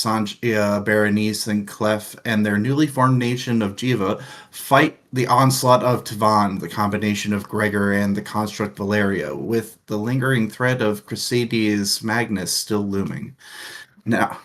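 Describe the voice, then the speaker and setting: A man's voice: on the low side, fairly bright tone. A young man co-hosting a casual book discussion show, rambling through his thoughts with frequent laughs and the odd crude joke. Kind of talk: casual chat.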